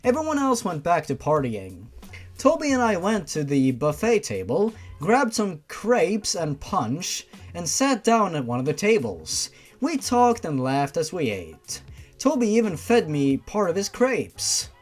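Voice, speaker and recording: An expressive narrator reads a first-person story in an informal manner. The pitch sits in a middle register and moves around a lot. Music plays behind the voice. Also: unhurried. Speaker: story reader.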